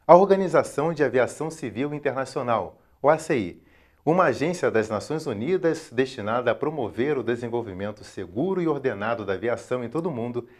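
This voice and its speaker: Formal Brazilian male documentary narrator. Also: medium pitch. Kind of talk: scripted educational documentary narration.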